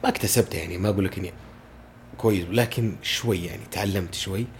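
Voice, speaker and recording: A man's voice: low-pitched, rapid-fire, a little hiss or hum. A man, a voice-over artist chatting eagerly as a podcast guest. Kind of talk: casual conversation.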